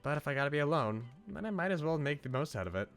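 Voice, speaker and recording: A man voicing a wistful, gentle young woman from a visual novel, in a musing manner. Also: a little high, sing-song, fast talker, voice pushed up. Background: game music.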